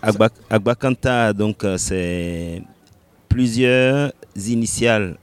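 A man from Benin answering interview questions about his music, unhurried, pausing often and laughing lightly between thoughts. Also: fairly low pitch, smooth. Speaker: reggae musician.

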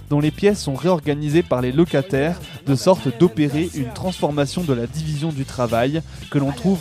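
Mellow, higher-pitched man narrating a documentary. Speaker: radio narrator. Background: music with guitar.

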